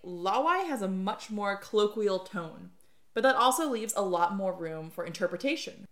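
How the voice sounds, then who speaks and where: A woman's voice: mid-range voice, animated, moderate pace, a bit bright. A woman co-hosting a podcast, asking curious questions and reading scripted lines. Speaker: podcast co-host. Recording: echoey room.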